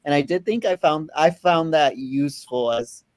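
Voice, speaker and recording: A man's voice: on the high side, moderate pace, no echo. A man in a casual film discussion, rambling and repeating words as he thinks aloud. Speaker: film review panelist.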